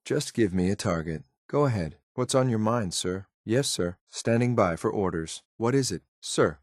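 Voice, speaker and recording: Deep-voiced, clipped, loyal synth soldier. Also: male voice, expressive, normal speed, no room sound, studio quality. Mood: dutiful, composed. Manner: clipped, military, deferential yet blunt. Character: loyal, coldly disdainful synth soldier, dutiful right-hand man. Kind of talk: video game companion voice lines.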